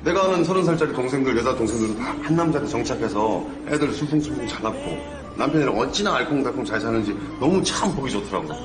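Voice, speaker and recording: A man's voice: medium pitch, animated, quick pace, lightly acted. An actor playing an eager young man who boasts politely and formally that he never gets drunk.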